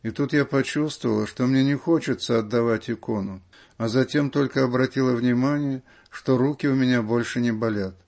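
Male radio narrator, average pitch, reading written letters aloud from a script. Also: steady tone, a little fast, creaky, a little mellow. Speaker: radio reader of listeners' letters.

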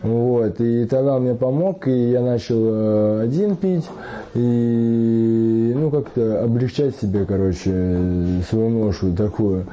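A man in his twenties giving a halting, confessional interview answer. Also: mid-range voice, mellow, muffled recording. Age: 20s. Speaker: interviewee in rehab.

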